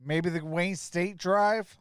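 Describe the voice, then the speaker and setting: Male stream host, lightly raised pitch, dry close sound. Informal, off-the-cuff play-by-play and chat. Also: steady tone, slow pace, clear, resonant tone, no echo. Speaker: live stream host calling a basketball game.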